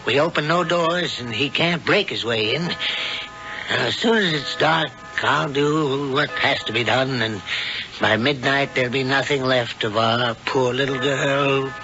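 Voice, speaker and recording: A man's voice: mid-range voice, unhurried, close to an everyday voice. An actor playing a genteel, sinister old male witch in a 1970s radio drama, mild and fussily polite. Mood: cold resolve. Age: older. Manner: mild, fussy, politely evasive. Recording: muffled old radio broadcast, music behind.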